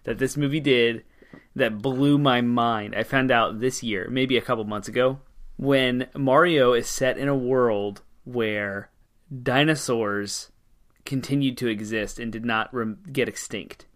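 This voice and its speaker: A male podcast co-host speaks in a bright, forceful voice at an average pitch. His talk is casual and chatty, with a blunt, opinionated way of putting things. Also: unhurried.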